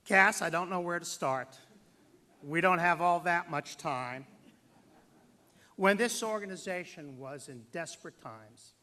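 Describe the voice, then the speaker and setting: A man addresses a formal banquet as its master of ceremonies. His voice sits a little high for a man, and he takes his time. He delivers tributes deliberately, stressing key words and pausing for effect. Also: sharp tone. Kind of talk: formal tribute speech to an audience.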